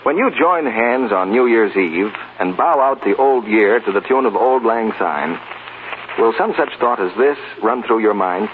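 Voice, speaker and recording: Animated American radio announcer, tinny vintage sound. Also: male voice, lowish voice, a little slow. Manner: polished, emphatic salesman delivery. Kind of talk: scripted 1940s radio advertising announcement. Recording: tinny, faintly noisy 1940s radio recording.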